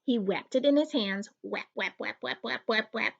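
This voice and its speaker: A woman's voice: a little high, normal speed, vocal fry, fairly bright tone, dull audio. A woman teacher reading a children's picture book aloud, expressively, playing with the sound-effect words.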